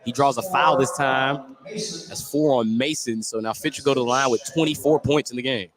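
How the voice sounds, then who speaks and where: A man giving conversational, opinionated color commentary on a live high school basketball game, reacting to plays and explaining them. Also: steady tone, moderate pace. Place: indoor high school gym during a game. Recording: live gym broadcast with audible crowd. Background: clear crowd noise.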